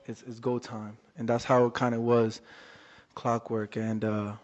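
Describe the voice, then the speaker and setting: Young male boxer guest, laid-back halting delivery, dark flat tone, reverberant lo-fi audio. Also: mid-range voice. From Worcester, Massachusetts area. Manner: relaxed, halting, many fillers. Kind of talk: casual interview chat. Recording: dull lo-fi audio in a reverberant room.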